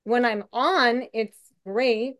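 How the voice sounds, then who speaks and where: A woman talks openly on a video call in a soft, somewhat shaky voice that often restarts mid-sentence. Her tone sits a little high and sounds smooth and clean. The sound is close and dry, with no room around it. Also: slow pace, no echo. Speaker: webinar participant. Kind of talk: candid conversational sharing.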